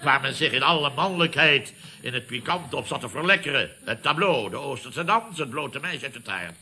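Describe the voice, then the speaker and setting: An actor playing a pompous, nostalgic company boss who orates emphatically, in a Dutch radio comedy serial. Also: male voice, medium pitch, lively intonation, fairly quick, bright, forceful tone, slight background noise. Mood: relishing, nostalgic. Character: bombastic, nostalgic male boss of a building firm.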